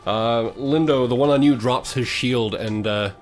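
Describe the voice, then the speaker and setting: A man acts as game master for a tabletop fantasy game, describing the action and calling out results at a middling pitch. Music plays behind his voice. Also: unhurried. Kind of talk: narrating a tabletop role-playing game.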